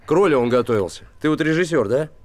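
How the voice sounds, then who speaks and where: An actor in his thirties playing a gruff, warm-hearted small-town handyman, lovesick and bitter, talking in blunt colloquial speech in a naturalistic TV drama. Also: fairly high pitch, animated, fast talker, lightly acted. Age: 30s. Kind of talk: naturalistic television drama acting. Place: small wooden house room.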